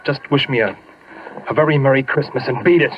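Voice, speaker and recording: An actor playing a gravely wounded, stubborn young American soldier in an old radio war drama. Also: male voice, medium pitch, fairly quick, highs cut off, natural voice. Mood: urgent, weary. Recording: noisy, band-limited old radio recording.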